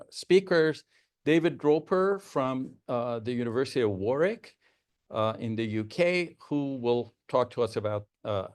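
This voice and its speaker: A man's voice: medium pitch, a little mellow, smooth. A man organizing and moderating a scientific webinar, speaking formally with frequent hesitant fillers over a video call. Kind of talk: formal academic introduction.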